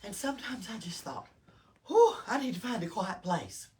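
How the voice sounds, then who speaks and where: A woman's voice: mid-range voice, animated, normal speed, darkish tone. An older woman reads a story aloud to young children, explaining simply and prompting them with questions. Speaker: library storytime reader. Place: small-town library. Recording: distant mic with background noise.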